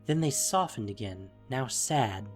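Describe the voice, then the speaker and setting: Expressive male story narrator over music. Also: medium pitch, measured pace. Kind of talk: dramatic scripted story narration.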